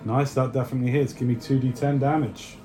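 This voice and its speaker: A man's voice: mid-range voice, little pitch movement, quick pace, music in the background. A middle-aged man running and narrating a family tabletop game, explaining things in an informal way. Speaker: game master.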